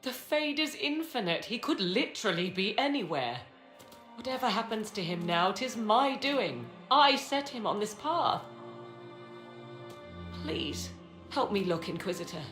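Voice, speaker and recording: A woman's voice: mid-range voice, sing-song, unhurried, a bit bright. An actress playing a proud, mysterious sorceress and mother in a fantasy game, speaking in formal, archaic, theatrical phrases. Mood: anguished, urgent. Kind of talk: dramatic fantasy game acting. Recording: echoey, with music behind.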